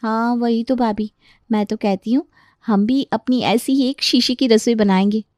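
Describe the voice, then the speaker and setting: A woman voicing a young daughter-in-law, gossipy and prone to complaint, in an animated family story. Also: a little high, normal speed, dry recording, clean studio sound, natural voice. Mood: eager.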